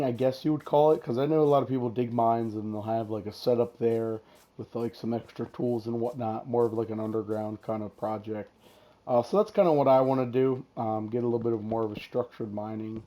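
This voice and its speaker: A man with a hoarse, rough voice from being sick, talking casually over gameplay as the channel host, rambling with lots of fillers and restarts. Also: mid-range voice, dark tone. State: hoarse, losing his voice from illness.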